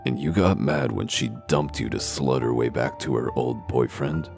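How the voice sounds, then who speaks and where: A man playing a gruff, foul-mouthed wolf detective in a comic dub, questioning people bluntly and accusingly. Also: moderate pace, a little mellow, faint music behind. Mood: accusing, sardonic. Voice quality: very deep, gravelly.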